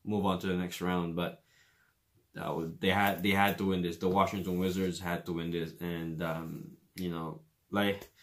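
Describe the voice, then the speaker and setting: A man with a Filipino accent giving casual commentary on a basketball game, filling pauses with "uh" and "man". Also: low voice, flat delivery. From Philippines. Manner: casual, many fillers, says "man" often. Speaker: fan commentator.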